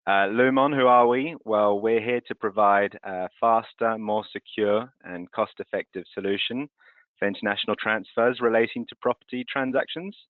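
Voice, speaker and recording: A man's voice: lowish voice, no echo. A man from France presenting a webinar on a video call, explaining things in a fluent, businesslike way. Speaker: webinar host, currency transfer representative. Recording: tinny video call audio.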